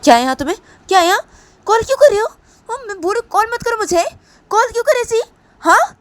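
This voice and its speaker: A woman voicing a furious young woman who angrily scolds a repeated caller in a shrill, shouting voice. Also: sing-song, quick pace.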